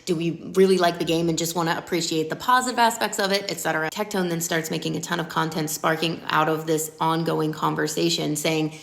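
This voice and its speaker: A woman's voice: low voice, fairly even intonation, fairly quick, gravelly, few pauses, echoey room. A woman giving a casual, blunt and sweary recap of online drama, with a wry way of talking. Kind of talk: casual commentary to camera, story recap.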